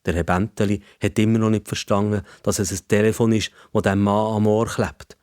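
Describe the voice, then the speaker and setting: A man's voice: lowish voice, flat delivery, brisk, darkish tone, no room sound, studio quality. A man with a Swiss German accent narrating a children's story in the first person as a storyteller.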